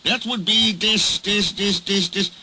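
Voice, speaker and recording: A man's voice: sits high, big pitch swings, normal speed, pushed and bright, slight background noise, voice pushed up, full character voice, low-quality recording. A man voicing a pompous, indignant male steam engine who stammers when flustered.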